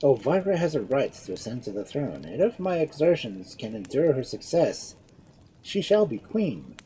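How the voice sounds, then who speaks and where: A woman's voice: extremely low pitch, very animated, moderate pace, warm tone, slight background noise, voice pushed up, poor audio. A woman voicing a passionate young male lord who pleads and exclaims in a storybook reading. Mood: earnest.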